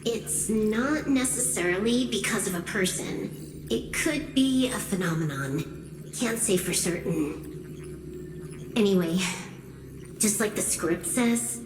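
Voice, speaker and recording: Slow, cool woman strategist voiced by an actress. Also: medium pitch, background noise, lightly acted. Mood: cool, serious. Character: cool, cautious female hacker and strategist. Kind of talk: anime game voice acting. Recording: echoey room, music behind. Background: game music.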